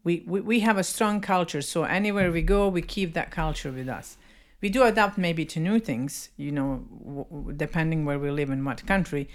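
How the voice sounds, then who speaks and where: A woman's voice: deep voice, moderate pace, few pauses. A woman from Bosnia co-hosting a casual podcast chat, speaking directly in plain phrasing with small grammar slips. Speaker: podcast co-host.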